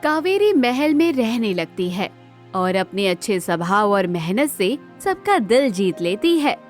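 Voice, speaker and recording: A woman's voice: mid-range voice, sing-song, measured pace, soft background music. A woman narrating a scripted storybook tale.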